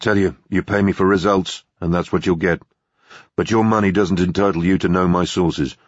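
Man playing a brisk, self-assured investigator, very dry sound. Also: low-pitched, steady tone, no room sound, muffled recording. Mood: businesslike. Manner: brisk, businesslike, direct.

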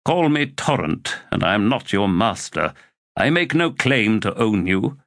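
A man's voice: lowish voice, very animated, a little slow, fairly bright tone, no room sound, studio quality, full character voice. A man voicing Torrent, a weary and defiant young male warrior, in an audiobook reading.

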